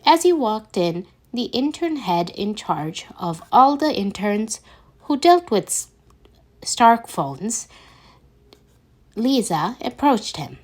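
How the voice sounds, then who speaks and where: Slow-paced woman reading fan fiction, halting. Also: on the high side, sing-song. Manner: halting, stumbles and corrects herself. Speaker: podfic reader. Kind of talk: amateur fan fiction read-aloud.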